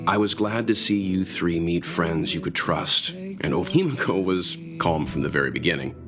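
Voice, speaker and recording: A man voicing a thoughtful, kindly mentor in a video game, with a measured, reflective and gentle delivery. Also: low-pitched, very animated. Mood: reflective, encouraging. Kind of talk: scripted video game dialogue. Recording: music behind the voice.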